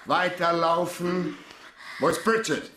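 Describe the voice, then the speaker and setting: An actor playing a hard-boiled, commanding private detective in a German radio crime drama, speaking in clipped, wry phrases. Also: male voice, fairly high pitch, slow, sharp tone, a little hiss or hum. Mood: pressing. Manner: clipped short commands and questions.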